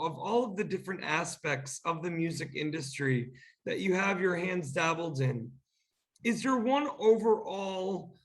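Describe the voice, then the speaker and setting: A man's voice: sits high, a bit bright. A man hosting an interview, effusive and eager, heaping praise on his guest. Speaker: interview host.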